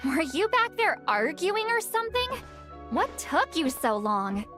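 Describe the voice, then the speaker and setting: Grumpy old shaman granny from a game, high swoopy voice, slow dramatic muttering. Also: big pitch swings, over music, heavily acted. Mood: impatient, puzzled. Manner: theatrical, exasperated muttering, drawn-out words. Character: elderly female shaman, grumpy, proud, easily flustered. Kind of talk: video game voice acting.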